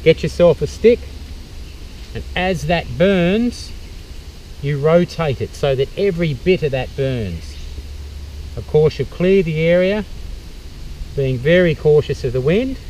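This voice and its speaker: A middle-aged Australian man with a warm, relaxed voice walks through a bushcraft skill step by step, pausing on fillers as he kneels and works. He is recorded outdoors in a forest by a camera a few metres off. A faint hum of background noise sits under his voice. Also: on the high side, distant mic, rough recording. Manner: practical, explaining step by step with fillers. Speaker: bushcraft instructor and tour guide. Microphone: camera mic from a few metres away.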